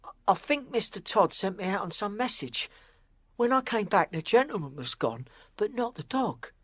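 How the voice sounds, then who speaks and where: A woman playing a frightened young apprentice boy, timid, halting and deferential, in a period audio drama. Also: medium pitch, expressive, slightly rough, no room sound, clean studio sound. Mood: fearful.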